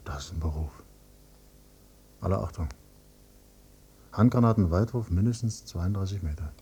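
A male actor playing a grown son, a teacher, who questions and narrates in a measured, probing way in a German radio drama. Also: deep voice, warm tone, breathy edge, heavily acted. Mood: sober, ironic. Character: accusing grown son, a teacher probing his father's past.

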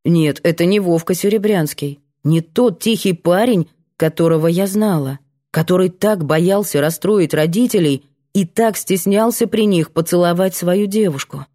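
A woman's voice: lowish voice, moderate pace, warm tone. A woman reading a first-person novel aloud as scripted audiobook narration.

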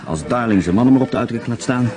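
An actor in a radio play voicing a self-assured, stubborn German prisoner of war plotting an escape, matter-of-fact in manner. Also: male voice, mid-range voice, lively intonation, fast talker, crowd noise, close to an everyday voice. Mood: calm, assured.

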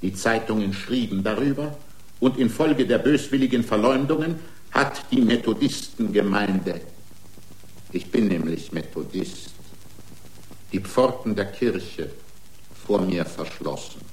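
A man's voice: lowish voice, a little slow, darkish tone, natural voice, poor audio. Aggrieved, long-winded male defendant , played by an actor. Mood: self-pitying. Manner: indignant, long-winded, rhetorical, formal. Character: aggrieved, excitable male racing driver pleading his own case. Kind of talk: radio drama acting, courtroom speech.

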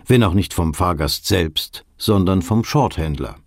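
Factual reporter, lowish voice, animated intonation, dry studio sound, documentary narration. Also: male voice, unhurried, no room sound. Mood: explanatory, assured. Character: matter-of-fact male radio reporter explaining a new system. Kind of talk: scripted documentary narration in a radio play.

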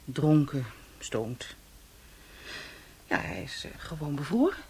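A woman playing a brisk, matter-of-fact police investigator with a dryly teasing manner in a naturalistic radio drama. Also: sits low, big pitch swings, mellow, a little hiss or hum, full character voice. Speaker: actor in a radio play.

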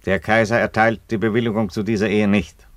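An actor playing an aging Roman emperor in a radio play, an unhurried, wry father with a dry, sententious delivery. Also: fairly low pitch, level tone, close to an everyday voice. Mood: imperious, forceful.

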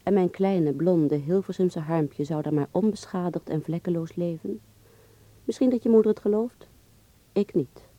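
An actress plays a young woman in a radio play, voicing a letter to a close friend. Her voice is very dark and soft-edged, slightly low, in a dry, close recording. She is chatty, intimate and teasing. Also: moderate pace, no room sound, muffled recording, natural voice. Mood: teasing, wistful. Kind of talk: radio drama letter monologue.

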